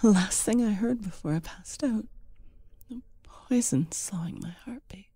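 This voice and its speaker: A woman reading first-person fiction in a hushed, breathy, deliberate and dramatic way. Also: mid-range voice, dry recording. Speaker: story narrator.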